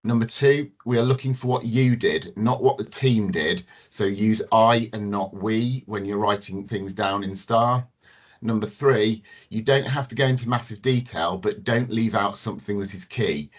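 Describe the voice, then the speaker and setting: Low-voiced man giving methodical advice. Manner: measured, methodical advice with frequent ums. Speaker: team manager. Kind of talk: presenting in a webinar.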